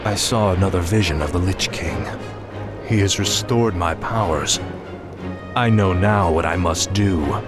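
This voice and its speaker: An actor playing a ruthless young fallen prince turned death knight in a fantasy game, grim, resolute and theatrical. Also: male voice, deep voice, measured pace. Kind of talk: fantasy video game acting. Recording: music behind the voice.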